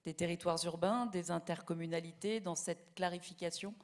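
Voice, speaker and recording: A woman moderating a formal hearing, putting questions in a halting way with pauses as she phrases them. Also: on the low side, steady tone.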